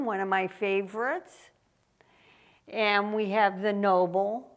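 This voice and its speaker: A woman artist giving an unhurried tour of her gallery exhibition, pausing often with ums. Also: mid-range voice, fairly bright tone. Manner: unhurried, with frequent ums and pauses. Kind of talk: casual explanatory walk-through. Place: art gallery, indoors.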